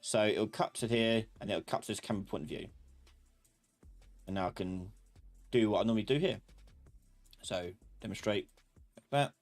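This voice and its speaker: A man teaching a live software class, thinking aloud in a casual, informal way as he explains step by step. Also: lowish voice, normal speed, pauses often, soft background music.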